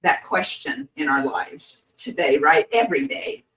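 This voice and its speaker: A woman co-hosting a podcast, speaking slowly and reflectively with many pauses, her voice a little hoarse and croaky. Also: low voice, fairly bright tone, far from the mic, poor audio. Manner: hesitant, many pauses and fillers. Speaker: podcast co-host. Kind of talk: slow reflective podcast chat.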